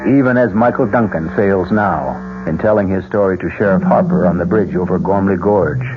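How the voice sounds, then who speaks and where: A man performing as the theatrical, sinister host and narrator of a radio mystery, measured and dramatic. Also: low-pitched, background noise, natural voice. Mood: ominous. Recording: dull old radio broadcast. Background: music.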